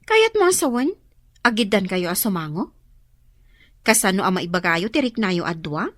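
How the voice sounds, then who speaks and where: A woman's voice: mid-range voice, big pitch swings, normal speed, no room sound, studio quality. A woman with a Filipino accent playing an inquisitive young woman in an Ilocano radio drama. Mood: teasing, curious.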